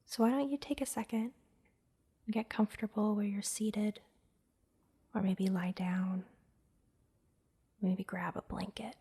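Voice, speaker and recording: Slow, breathy whispering woman. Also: medium pitch. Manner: gentle, soothing, instructing softly with pauses. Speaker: massage therapist and meditation guide, host. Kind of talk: whispered ASMR guided meditation.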